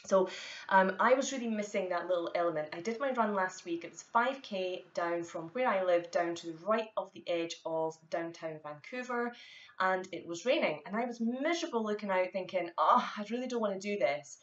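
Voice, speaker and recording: A woman's voice: medium pitch, normal speed. A woman with a Scottish accent, the founder of a fitness company, giving a chatty, direct vlog talk full of fillers. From Scotland. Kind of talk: casual vlog monologue. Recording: echoey, dull lo-fi room sound.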